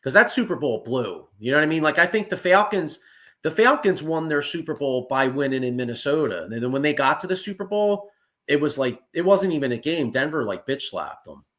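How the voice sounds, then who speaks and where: Excitable man in his forties. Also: fairly high pitch, fairly quick. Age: 40s. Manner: excitable, profane, stumbling restarts. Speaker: podcast host. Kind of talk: casual sports chat.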